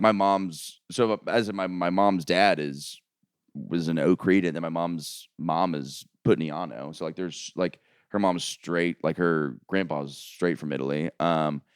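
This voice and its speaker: A man's voice: measured pace, clear, resonant tone, no echo, clean studio sound. A man from Florida hosting a casual comedy podcast, telling stories and mimicking people. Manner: animated storytelling, jumps high to mimic people. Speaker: podcast host.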